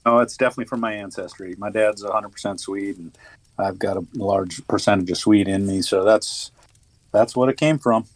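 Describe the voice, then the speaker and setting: Man in his fifties, podcast guest, low voice, dry close mic, many fillers and restarts. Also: moderate pace, no room sound. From United States. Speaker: podcast guest, retired Army officer. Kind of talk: casual interview conversation.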